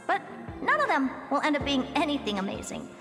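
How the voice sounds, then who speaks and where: A woman's voice: high-pitched, very animated, fairly quick. A woman voicing a self-assured, casually teasing genius scientist in a video game, breezy and confident. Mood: breezy, knowing. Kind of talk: video game character acting. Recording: long reverb under clear music.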